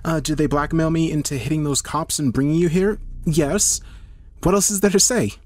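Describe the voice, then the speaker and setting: A man's voice: fairly high pitch, animated, fast, voice pushed up, close to an everyday voice. A man voicing a panicky, blunt young woman in an audio story, urgent and impatient, with a defiant edge. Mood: agitated, defiant.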